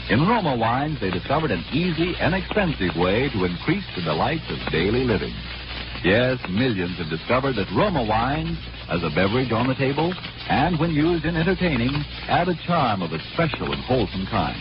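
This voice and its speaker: Male 1940s commercial announcer, declamatory and animated, mid-pitched, on a dull vintage radio recording. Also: noisy background. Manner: polished, declamatory salesmanship. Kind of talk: 1940s radio advertisement, scripted.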